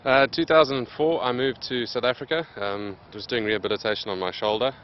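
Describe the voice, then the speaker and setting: A man in his late twenties, answering interview questions in a plain, steady way with many pauses. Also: mid-range voice, lo-fi sound, slight background noise. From Zimbabwe. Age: late 20s. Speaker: interviewed athlete.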